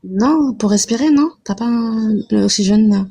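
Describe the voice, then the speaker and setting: A woman calls in to a live stream and chats casually, asking caring, gentle questions. Her voice is husky and gravelly. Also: a little high, unhurried. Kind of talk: casual chat.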